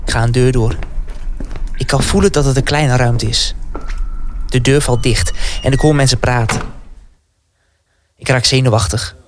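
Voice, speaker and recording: A man's voice: medium pitch, lightly acted. A man acting an anxious, defiant young resistance recruit in a dramatic first-person radio play.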